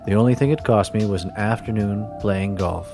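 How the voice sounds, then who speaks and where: A man voicing a large, weary male businessman who speaks in a dry, low-key, reluctant way. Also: brisk, dark tone, faint music behind, lightly acted.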